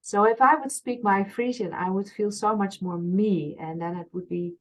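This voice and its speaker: Dutch-accented woman, interview guest, reflective and searching, mid-pitched. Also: steady tone, moderate pace, low-quality recording. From Friesland, Netherlands. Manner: thoughtful, searching, frequent restarts and soft trailing. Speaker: interview guest, past life regression therapist.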